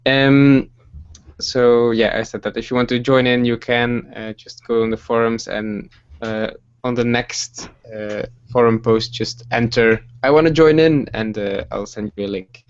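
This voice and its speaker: A man in his twenties from Belgium hosting a casual group livestream, relaxed and halting with many fillers and soft laughs. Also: mid-range voice, smooth, dull audio. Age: 20s. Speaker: livestream host.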